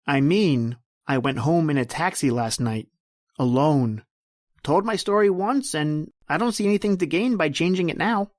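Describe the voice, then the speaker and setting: A man's voice: fairly high pitch, normal speed, no room sound, clean studio sound, lightly acted. A man playing a shifty, self-serving young friend who talks evasively, in an old-time radio drama style. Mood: evasive, stubborn.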